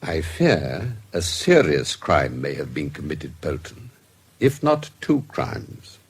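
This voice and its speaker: An actor playing a measured, authoritative British investigator in a radio drama, speaking with precise, formal, dry courtesy. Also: male voice, extremely low pitch, very animated, husky, exaggerated voice. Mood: grave, dry.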